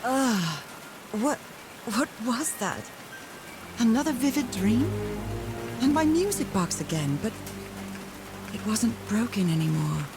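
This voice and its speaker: An actress playing an ailing, determined woman explorer of the 1930s in a video game, musing to herself in halting questions. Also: on the high side, very animated, over music, full character voice. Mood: wondering. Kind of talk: dramatic video game monologue, thinking aloud.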